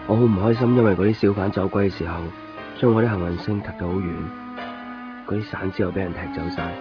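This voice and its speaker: A man's voice: deep voice, fast talker, natural voice. An actor playing a stubborn, lovelorn young man in a radio drama, speaking with a Hong Kong Cantonese accent. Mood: melancholy narration. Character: lovelorn, stubborn young man waiting, guarding his coins. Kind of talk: radio drama acting with first-person narration. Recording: muffled 1990s radio drama recording, music.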